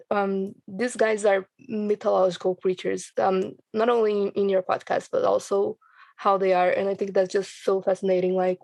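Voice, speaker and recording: A woman's voice: medium pitch, gravelly, a little mellow, no echo. A woman from Brazil, speaking reflectively and hesitantly in a casual panel chat. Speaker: panel guest, audio drama creator.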